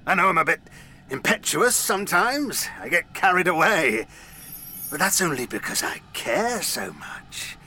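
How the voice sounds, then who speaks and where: A man's voice: a little high, big pitch swings, measured pace, bright, forceful tone, a little hiss or hum, exaggerated voice. An actor playing a vain, manipulative fairy king and father who wheedles, pleads and threatens theatrically. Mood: pleading.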